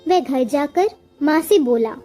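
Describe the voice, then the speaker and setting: A woman reads a scripted story as narrator. Her voice is very high and mellow, and she takes her time over each phrase. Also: lively intonation, a little slow, soft background music.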